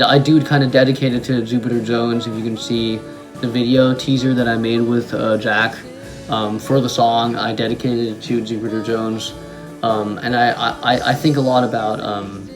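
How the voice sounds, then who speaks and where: A young man, a German native speaker, casually talking about his own song with many ums and restarts. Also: mid-range voice. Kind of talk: casual talk to camera.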